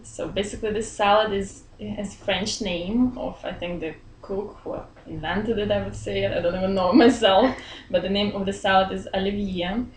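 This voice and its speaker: Woman, 30s, from Belarus, friendly, laughs often, pauses for words, small office, webcam, roomy echo. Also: mid-range voice, fairly even intonation. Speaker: interviewee. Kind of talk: casual interview chat. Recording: roomy echo, faint room hum.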